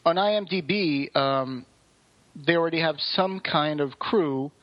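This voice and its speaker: A man with a fairly high voice talks casually as a podcast co-host. He explains things in detail and at length. The recording is somewhat dull and low in fidelity. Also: unhurried.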